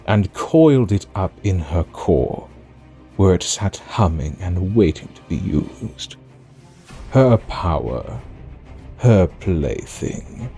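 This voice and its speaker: Man playing a theatrical, mocking low-voiced villain. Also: warm tone, heavily acted. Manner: theatrical, mocking, drawn-out delivery. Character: sardonic, theatrical male villain, amused and menacing. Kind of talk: first-person dramatic narration for an audio drama.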